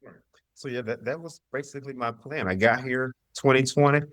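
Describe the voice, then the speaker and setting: A man's voice: medium pitch, fairly even intonation, a little fast, a bit bright, dry recording, clean studio sound. A middle-aged man from the southern US telling his personal story as an interview guest, emphatic and repeating phrases as he voices his own past thoughts. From southern US (Mississippi, North Carolina).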